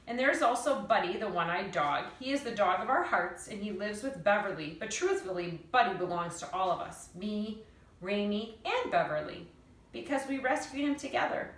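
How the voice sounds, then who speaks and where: Woman, bright voice, dramatic children's book narration, emphatic delivery, echoey distant recording. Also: mid-range voice. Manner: animated, dramatic storytelling with emphatic words. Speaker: teacher reading aloud. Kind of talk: expressive children's novel read-aloud. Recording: roomy echo, distant mic.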